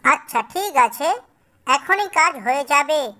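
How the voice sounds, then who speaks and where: An obedient cartoon snake, conjured as a servant, with a man acting it out. It talks high and slow, deferential and compliant. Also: expressive, bright, forceful tone, in a large hall, exaggerated voice.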